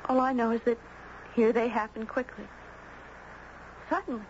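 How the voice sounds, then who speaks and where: Woman doing uncanny young girl in a 70s radio drama, highish voice, cryptic simple talk, bit of hiss. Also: steady tone, moderate pace, darkish tone, close to an everyday voice. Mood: brooding, insistent. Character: fey, confident young girl with an uncanny gift. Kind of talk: 1970s radio drama acting. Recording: muffled, noisy 1970s radio broadcast.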